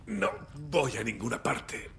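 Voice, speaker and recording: A man's voice: big pitch swings, slow, gravelly, a bit bright, heavily acted. An actor playing a wry, world-weary, blunt and stoic lawman in dramatic video game dialogue. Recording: faint background rumble and noise.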